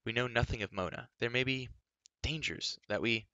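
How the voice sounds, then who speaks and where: Man playing an earnest, bright-voiced young hero. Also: medium pitch, moderate pace, no room sound, lo-fi sound. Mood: grave, firm. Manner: grave, firm, pleading. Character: earnest, stern, anxious young male adventurer. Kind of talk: acted audiobook dialogue.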